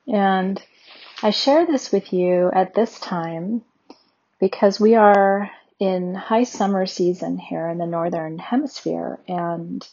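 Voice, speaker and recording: Slow, husky-voiced woman guiding meditation. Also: mid-range voice, lo-fi sound. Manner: slow, soothing, gentle instructions. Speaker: meditation guide. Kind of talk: guided meditation.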